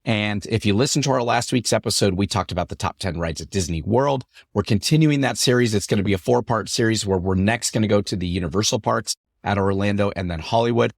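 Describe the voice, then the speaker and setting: Chatty male podcast host, voice deepened by a cough. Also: quick pace, vocal fry, hardly any pauses, no room sound, studio quality. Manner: upbeat, chatty, repetitive phrasing. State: recovering from illness, lingering cough deepening his voice. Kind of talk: solo podcast talk.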